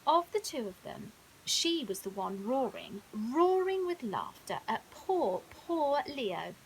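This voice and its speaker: Expressive, high-voiced woman reading a bedtime story. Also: slow pace, poor audio. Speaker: storyteller, primary school teacher. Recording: audible hiss.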